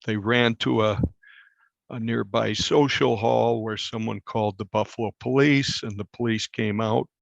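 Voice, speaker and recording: A man working as a reporter, telling a story conversationally in an interview in a measured, explanatory way. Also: fairly low pitch, steady tone, creaky, no room sound, lo-fi sound. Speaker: newspaper reporter being interviewed.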